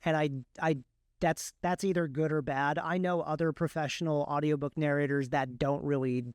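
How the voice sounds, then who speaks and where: A man's voice: a little high, steady tone, normal speed, clean tone, dry recording, studio quality. A man, a guest on the show who writes and narrates audiobooks, talking casually and explaining his process step by step. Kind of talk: casual interview talk.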